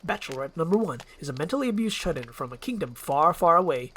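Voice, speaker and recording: A woman's voice: deep voice, animated, a bit bright, slight background noise. A woman voicing a magic mirror as a showy game-show announcer, drawing out grand introductions in a storybook roleplay. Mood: showy, excited. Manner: showy, grandly drawn-out presenting.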